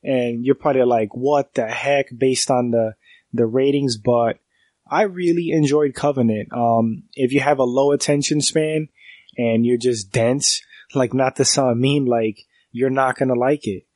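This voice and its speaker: A man talks through a film review for his podcast, his nose stuffed up from a cold. He rambles in a casual way, restarting sentences and leaning on fillers. His delivery stays fairly flat, and the sound is close and dry with no room echo. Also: mid-range voice, measured pace. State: congested from a cold.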